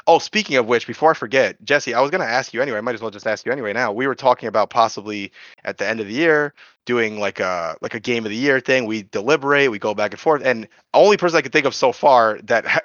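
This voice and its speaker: Podcast host, male, thirties, chatty and animated, bright tone. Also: medium pitch, dry recording, lo-fi sound. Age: 30s. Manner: rambling, animated, many fillers. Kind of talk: casual chat.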